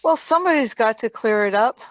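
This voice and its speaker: A woman playing a brisk, pert young female companion in a radio play reading, on a slightly muffled microphone. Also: a little high, animated, no room sound, natural voice.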